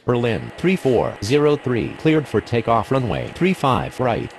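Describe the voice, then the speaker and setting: A man's voice: medium pitch, big pitch swings, measured pace, soft background music. A man voicing a businesslike air traffic radio operator in scripted aviation phraseology.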